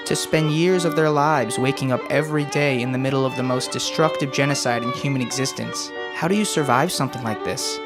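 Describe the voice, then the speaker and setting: A young man narrating a documentary in a measured, earnest, scripted way. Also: mid-range voice. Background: violin music.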